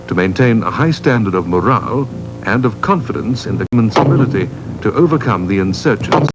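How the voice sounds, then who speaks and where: A South African man reading scripted documentary narration for an army training film in a formal, measured way. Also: on the low side, poor audio. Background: music behind the voice.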